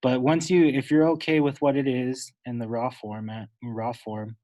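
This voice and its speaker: Man giving a step-by-step software tutorial. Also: medium pitch, flat delivery, normal speed. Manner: instructional, wordy, with self-corrections. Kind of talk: unscripted step-by-step screen tutorial.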